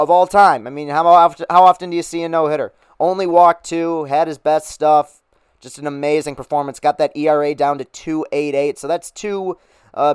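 A man hosting a casual sports podcast, upbeat and rambling with fillers, his voice a little hoarse. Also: fairly high pitch, dry recording, studio quality. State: losing his voice. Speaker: podcast host.

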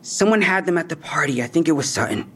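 An actor playing a defensive young robbery suspect in an audio drama, with husky, slangy, evasive talk and tough posturing over fear. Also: male voice, on the high side, lively intonation, fast, bright, forceful tone, full character voice. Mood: cocky, casual. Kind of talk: naturalistic audio drama acting.

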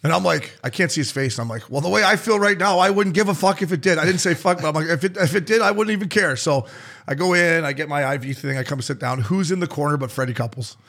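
Fast-talking, foul-mouthed raspy man. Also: a little high, fairly bright tone. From Canada. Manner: fast, profane storytelling with voiced quotes. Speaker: podcast co-host. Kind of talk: casual chat.

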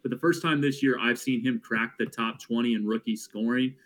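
A man's voice: mid-range voice, steady tone, a bit bright. A man who calls games for a living, a guest on a sports podcast, talking through numbers casually and correcting himself as he goes. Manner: stat-heavy, quick self-corrections. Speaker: team broadcaster and podcast guest. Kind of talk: casual sports analysis chat.

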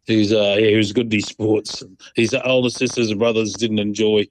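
A man's voice: fairly low pitch, little pitch movement, normal speed, dry recording. A middle-aged Australian man chatting casually as an interview guest, in a laid-back, rambling, colloquial way. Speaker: interview guest, proud sporting dad. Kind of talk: casual phone-in chat.

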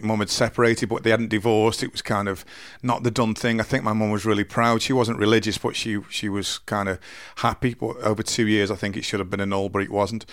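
A man in his forties with a deep voice, telling his life story slowly and earnestly in an interview, often restarting his sentences. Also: little pitch movement, a bit bright, no room sound, studio quality. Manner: slow, halting, earnest storytelling with many restarts. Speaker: interview guest. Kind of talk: candid personal interview.

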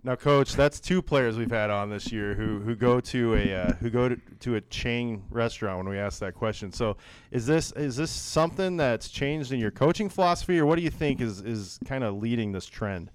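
Male interviewer with a smooth voice. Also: mid-range voice, moderate pace, no echo. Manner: friendly, conversational questions. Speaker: interview host. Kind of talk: podcast-style interview.

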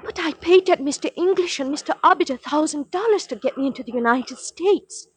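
An actress playing an anxious young Norwegian refugee in a 1940s radio drama, speaking haltingly and formally in an earnest way. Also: female voice, high voice, lightly acted. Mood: shocked. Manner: halting, repeats words, earnest, formal phrasing. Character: anxious young Norwegian refugee woman, stateless, pleading, proper. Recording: noisy 1940s radio recording.

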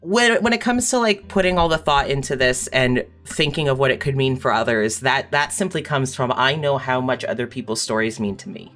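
A woman's voice: a bass voice, brisk, few pauses, fairly bright tone. Earnest, tender woman with music behind. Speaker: audio fiction writer on a panel. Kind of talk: heartfelt panel conversation.

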